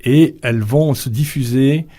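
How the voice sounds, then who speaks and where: A middle-aged man guest on a radio interview, talking slowly and hesitantly with many fillers and restarts. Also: mid-range voice, warm tone. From Vietnamese family background. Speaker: author and guest.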